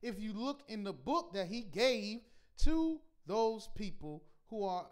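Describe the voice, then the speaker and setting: A man teaching a scripture class in a preaching style, reading verses slowly and pressing his points with repeated rhetorical questions. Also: sits high, big pitch swings, smooth. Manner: emphatic, repetitive rhetorical questions; slow deliberate scripture reading.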